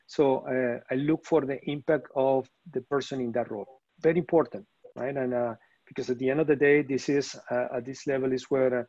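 A man's voice: mid-range voice, fairly even intonation, brisk, darkish tone, dry recording. Hesitant man from Venezuela on a call. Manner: hesitant, many fillers and restarts. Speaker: panelist, operations executive. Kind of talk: webinar panel answer. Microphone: video call. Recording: muffled video call audio.

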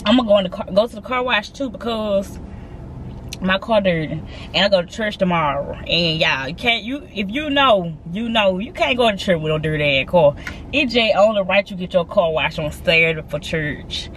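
A woman of twenty-one talking casually and excitedly to her camera from inside a car, swearing freely. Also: medium pitch, normal speed, slight background noise. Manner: chatty, profane, excitable. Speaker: vlogger. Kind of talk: casual vlog chat to camera. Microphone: handheld camera at arm's length.

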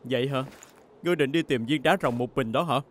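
A man's voice: mid-range voice, a little fast, slight background noise, lightly acted. A man playing a stubborn, combative young rival hero in a Vietnamese cartoon dub, challenging and hot-headed. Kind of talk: Vietnamese-dubbed cartoon acting.

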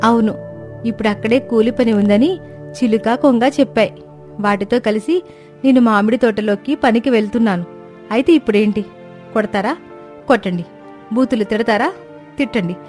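A woman plays a cartoon sparrow wife with a fairly high voice. She is outspoken and long-suffering, pouring out her grievances in plaintive, emotional speeches. Music plays underneath her. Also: normal speed, long pauses, a little mellow, natural voice. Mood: defiant, bitter. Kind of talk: dramatized moral story cartoon dialogue. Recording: music bed behind voice.

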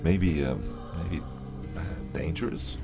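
A man playing an anxious, flustered radio station worker in a horror audio drama, halting and stammering. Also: rumbling low, gravelly, over music, full character voice. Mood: uneasy, hesitant. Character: uneasy, flustered male radio station colleague.

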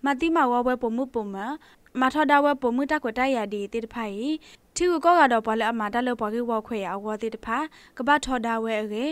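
A woman newsreader with a fairly high voice reads a scripted radio report. The recording is dry and close, with no room around the voice. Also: no echo. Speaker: news reader. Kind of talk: scripted radio news reading.

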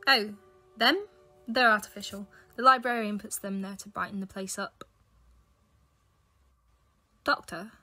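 A woman's voice: medium pitch, unhurried, pauses often, pushed and bright, soft background music. A young woman playing an anxious, insistent university student in a fan audio drama, urgent and questioning. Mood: earnest. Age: young adult.

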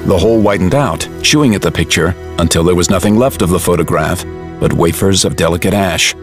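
A man's voice: deep voice, fairly quick, music in the background. A man reading scripted narration for a dramatized audiobook, as storyteller.

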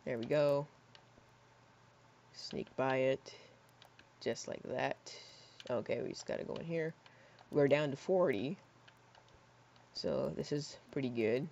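A hoarse young man in his teens rambling casually while playing a building game, with lots of fillers and soft mutters. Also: mid-range voice, slow, pauses often. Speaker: gaming YouTuber. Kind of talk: casual gameplay commentary. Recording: slightly dull lo-fi mic.

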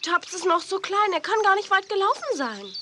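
A woman playing an eager, naive, soft-hearted girl in a children's audio play. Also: very high-pitched, big pitch swings, fairly quick, heavily acted. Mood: worried. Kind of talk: 1980s German children's audio play acting. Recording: faint noise, old cassette audio play.

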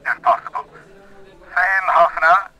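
A man's voice: sits high, level tone, moderate pace, very noisy, natural voice. An actor playing a secretive middle-aged man in an audio drama, polite, formal and hesitant. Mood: guarded.

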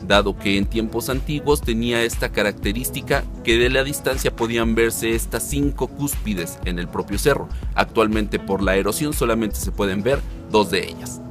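A man in his forties hosting a travel show, narrating in a slow, measured way. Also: lowish voice, over music. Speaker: host.

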